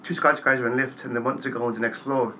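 Fast, bright-voiced man. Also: medium pitch, steady tone. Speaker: interviewer. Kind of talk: casual conversational interviewing. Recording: faint background noise.

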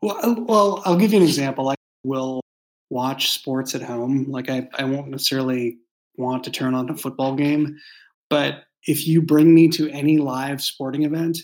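Guy chatting casually about his writing, medium pitch, kinda hesitant and rambly, thinks as he goes. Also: fairly even intonation, moderate pace, a little mellow, poor audio. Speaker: writer and creator of an audio drama. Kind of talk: casual behind-the-scenes discussion.

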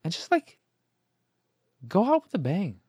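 A man's voice: sits high, huge pitch swings, a little slow, darkish tone, husky, no room sound, studio quality. A man hosting a gaming podcast alone, talking in an informal, playful, self-deprecating ramble and sounding tired. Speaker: solo video game podcast host. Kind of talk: casual podcast sign-off, rambling.

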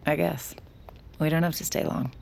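A woman's voice: deep voice, fairly even intonation, moderate pace, creaky, darkish tone, a little hiss or hum. An actress playing a guilt-ridden, wistful young woman in an intimate audio drama, hesitant and murmuring. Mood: resigned. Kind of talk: intimate audio drama acting with hushed inner monologue.

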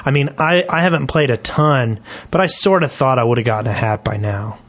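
Mid-pitched man explaining on a podcast. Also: fairly quick, fairly bright tone. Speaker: podcast co-host. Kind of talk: casual gaming podcast discussion.

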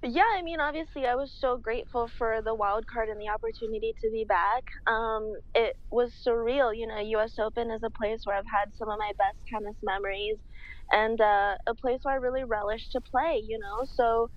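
A young woman in her twenties answers interview questions in a slightly raised pitch. She speaks slowly and thoughtfully, filling pauses with ums. Her audio is dry and muffled, like a remote call. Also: fairly even intonation, dry recording. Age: 20s. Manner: measured, slow, many fillers. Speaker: guest, pro tennis player.

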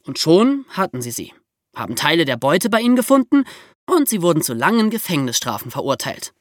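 A podcast co-host telling a story in a lively, explanatory style. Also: mid-range voice, a little fast, dry recording, clean studio sound.

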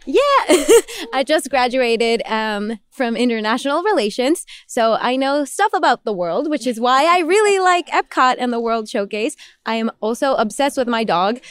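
Cheerful twenty-something woman, Mexican Spanish accent, high, smooth voice. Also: dry recording. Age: 20s.

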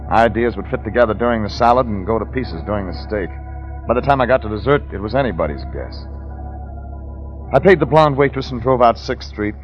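An actor playing a hard-boiled private detective in a vintage radio drama, narrating in a dry, terse manner. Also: male voice, on the low side, natural voice. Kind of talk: 1940s radio acting. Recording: muffled old radio broadcast with music.

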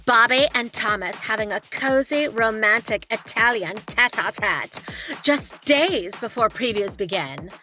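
A woman's voice: on the high side, expressive, a little slow, pushed and bright. A woman voicing a sly, teasing gossip narrator in an arch style for an audio comedy. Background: music.

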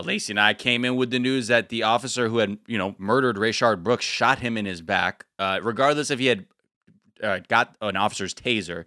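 A man hosting a podcast in casual, comedic news chat, animated and slangy, slipping into mocking acted-out quotes. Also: mid-range voice, no echo, clean studio sound. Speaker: podcast host.

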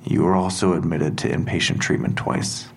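An actor playing a calm, probing police interrogator in an audio drama, measured, polite and softly relentless. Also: male voice, deep voice, slight background noise. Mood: clinical, insistent. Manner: measured, softly insistent, matter-of-fact. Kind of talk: full-cast audio drama acting.

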